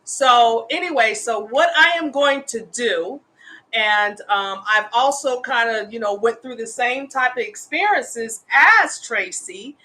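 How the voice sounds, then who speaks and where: A woman's voice: on the high side, expressive, slow pace, pushed and bright, poor audio. A woman in her fifties hosting a casual live show, speaking with earnest, impassioned conviction as an advocate. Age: 50s. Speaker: host, homeless women's advocate. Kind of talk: casual livestream talk.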